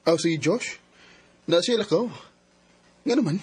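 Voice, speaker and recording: A man's voice: high-pitched, lively intonation, measured pace, darkish tone, slightly rough, no room sound, full character voice. A man with a Cebuano accent acting a trusting, questioning young boyfriend who can turn suspicious, in a radio drama. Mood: casual. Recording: faint background noise.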